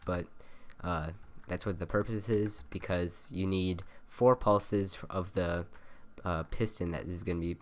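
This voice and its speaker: Deep-voiced nasal young man rambling. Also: steady tone, a little slow, hardly any pauses. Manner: rambling, many ums and self-corrections. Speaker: gaming tutorial maker. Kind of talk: casual game tutorial voice-over. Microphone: cheap USB desk mic by the keyboard.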